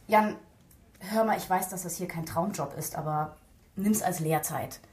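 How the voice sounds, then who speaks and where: An actress playing a calm, placating police officer in a naturalistic radio drama, patient and matter-of-fact. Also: female voice, on the low side, unhurried, echoey room, close to an everyday voice.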